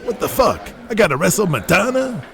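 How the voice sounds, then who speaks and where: A man's voice: sits high, sing-song, brisk, gravelly, music in the background, voice pushed up, heavily acted. A man voicing a brash, crude male pro wrestler with colloquial talk, in an audio drama. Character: brash, foul-mouthed male pro wrestlers in a locker room. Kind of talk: audio drama character acting.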